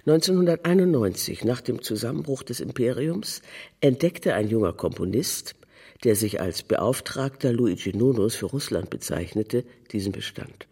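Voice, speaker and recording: A man reads a literary narrative in with measured, deliberate phrasing. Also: medium pitch, darkish tone, slightly rough. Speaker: narrator, reader of a literary text.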